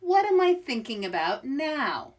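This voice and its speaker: Lady doing a big mild bear guy from a kids book, doubtful but patient, highish slow voice. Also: sing-song. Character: big brown male bear, patient, skeptical friend.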